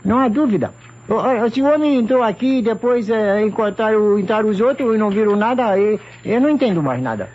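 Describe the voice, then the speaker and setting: High-pitched halting police sidekick. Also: male voice, darkish tone. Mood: puzzled. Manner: halting, repetitive, tags sentences with questions. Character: deferential, puzzled police assistant with foreign-tinged speech. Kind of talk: old radio mystery acting. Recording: muffled, hissy old radio recording.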